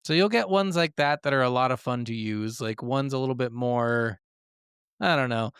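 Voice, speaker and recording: A man's voice: mid-range voice, normal speed, a bit bright, clean tone, no room sound, clean studio sound. A man co-hosting a casual gaming podcast, talking bluntly with plenty of swearing and complaint. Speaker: podcast co-host.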